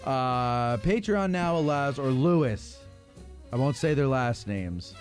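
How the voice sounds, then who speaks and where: A man hosts a podcast in a casual, ad-libbed style. His mid-pitched voice moves around animatedly as he slips into jokey mock-announcer asides. There is some music behind him. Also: animated, slow. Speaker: podcast host. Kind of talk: casual ad-libbed promotional chat.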